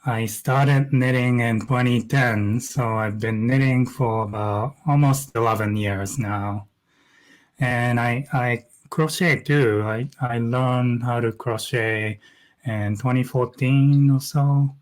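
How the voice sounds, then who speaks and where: A middle-aged man from Japan, a knitwear designer speaking softly and carefully as a guest on a video call interview. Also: mid-range voice, fairly even intonation, takes it slowly.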